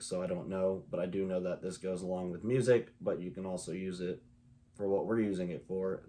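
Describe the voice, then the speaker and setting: A man speaking casually and plainly to viewers as he hosts a hobby video walkthrough. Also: on the low side.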